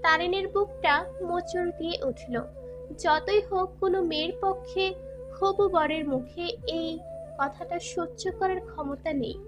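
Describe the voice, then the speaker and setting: A woman's voice: extremely high pitch, fairly even intonation, moderate pace. A woman reading scripted dramatic narration as the narrator of a romantic audio story. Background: background music.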